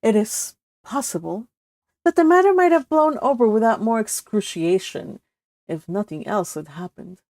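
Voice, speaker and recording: A woman reading a classic novel aloud as expressive scripted storybook narration. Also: fairly high pitch, moderate pace, no room sound. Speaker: audiobook narrator.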